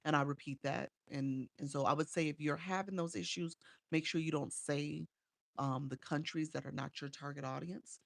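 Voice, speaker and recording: A woman's voice: deep voice, fairly even intonation, normal speed, creaky, no echo, clean studio sound. A woman from Chicago coaching live streamers in a casual livestream chat. She reads viewer comments aloud and answers them, with frequent "um"s, on a small desk microphone at home.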